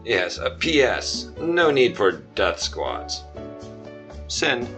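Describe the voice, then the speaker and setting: A man's voice: medium pitch, big pitch swings, extremely slow, fairly bright tone, soft background music, exaggerated voice. A man playing a self-important, dim starship captain, theatrical and blustering, in a comic sci-fi radio serial.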